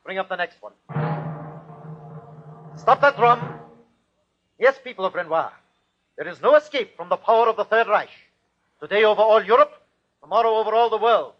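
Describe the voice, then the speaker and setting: A man acts out a ruthless German army captain in an old radio play. He declaims and commands in clipped, fanatical style. The recording is dull and low in fidelity, as vintage broadcasts are. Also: normal speed, pauses often, close to an everyday voice. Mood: harsh, declamatory. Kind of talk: old-time radio drama acting.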